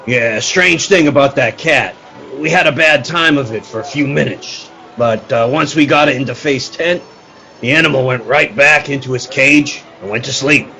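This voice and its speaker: A man plays a world-weary circus showman in a radio play. His voice is gravelly and bright, with a matter-of-fact, deferential manner over faint music. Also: medium pitch, normal speed, rough recording.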